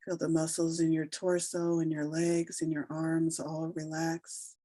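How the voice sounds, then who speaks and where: A woman leading a guided meditation, giving soothing, unhurried instructions with long pauses between phrases. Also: sits low, little pitch movement, dark tone, dry recording. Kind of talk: slow guided meditation narration.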